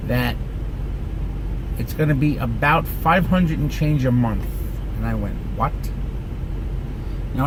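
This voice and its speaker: A man's voice: mid-range voice, vocal fry. A man in his forties telling a chatty, exasperated story to his viewers from inside his car. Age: 40s. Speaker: vlogger. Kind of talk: casual rant to camera. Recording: steady air conditioning hum.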